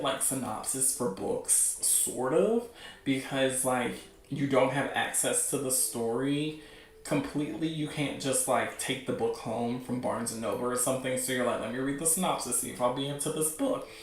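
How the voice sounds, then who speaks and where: Higher-voiced man in an echoey room. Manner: rambling, thinking aloud. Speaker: host of a webcomic news show. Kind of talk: casual unscripted commentary. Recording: roomy, echoing sound.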